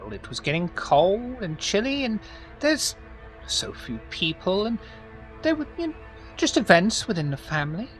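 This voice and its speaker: A woman's voice: medium pitch, big pitch swings, normal speed, soft background music, lightly acted, poor audio. Woman doing a gushing elderly vampire grandma. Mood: fond. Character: genteel elderly grandmother vampire, sweetly condescending.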